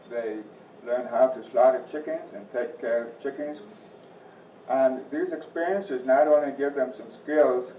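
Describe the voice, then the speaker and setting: A man's voice: mid-range voice, a little hiss or hum. Folksy man in his 60s, echoey room. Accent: softened Midwestern American. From Northeast Iowa, USA. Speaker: agriculture teacher and farm advisor. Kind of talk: presentation to a church audience. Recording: distant, echoey, muffled room recording.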